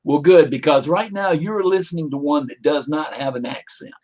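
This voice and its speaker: An older man with a fairly high voice for a man, chatting as a guest on a casual livestream. He rambles in a folksy, joking way, drifting into side stories before getting back to the question. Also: moderate pace, a little mellow. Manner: rambling, folksy, jokey asides. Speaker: demonologist guest.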